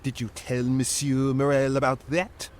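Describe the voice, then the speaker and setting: An actor in an audio drama playing a resentful, scheming ship's officer, stiff and formal, with insinuating deference. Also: male voice, medium pitch, completely flat delivery, moderate pace, natural voice. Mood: accusing.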